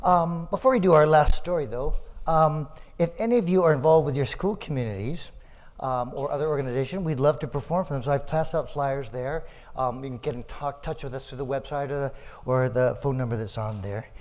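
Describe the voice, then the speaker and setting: A man's voice: medium pitch, fairly quick, a little mellow. A man speaking to an audience as a live storyteller and performer. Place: community hall performance.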